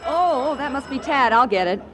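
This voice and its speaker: A poised young fiancée in a vintage radio comedy. Her voice is high and brisk, with an audience clearly heard behind her. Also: lively intonation, a crowd in the background. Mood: bright hostess. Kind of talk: 1940s radio comedy acting.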